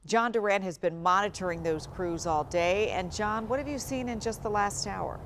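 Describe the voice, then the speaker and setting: A woman's voice: medium pitch, moderate pace, a little hiss or hum. A woman anchoring a newscast in a broadcast news delivery.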